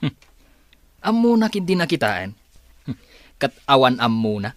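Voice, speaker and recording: An actor playing a skeptical, scornful office colleague in a radio drama. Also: male voice, mid-range voice, sing-song, moderate pace, no echo, lightly acted.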